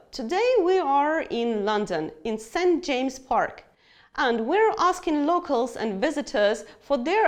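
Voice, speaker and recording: Female online teacher, high register, animated melody, scripted instructional delivery with questions to the learner. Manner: instructional, addressing students with questions. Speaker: English teacher. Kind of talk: scripted online lesson narration.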